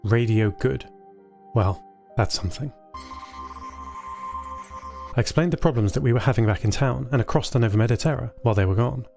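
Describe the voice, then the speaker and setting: A man's voice: mid-range voice, very fast, creaky, few pauses. A man narrating a scripted first-person science fiction story as a thoughtful, caring artificial intelligence. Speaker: narrator of an audio fiction podcast. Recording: voice over a music bed.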